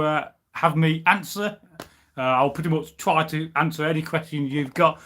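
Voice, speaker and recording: A man's voice: on the high side, fairly bright tone. Chatty rambling man on a live stream. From United Kingdom. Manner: rambling, chatty, many fillers and restarts. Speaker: history channel host. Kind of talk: casual live stream chat. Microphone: camera on a tripod.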